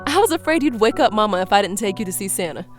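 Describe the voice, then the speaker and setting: An actress playing a principled small-town police chief and protective older sister in an audio drama, speaking firmly and earnestly. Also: female voice, medium pitch, big pitch swings, very fast, soft background music, lightly acted. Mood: reminiscing. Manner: earnest, firm. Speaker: actor.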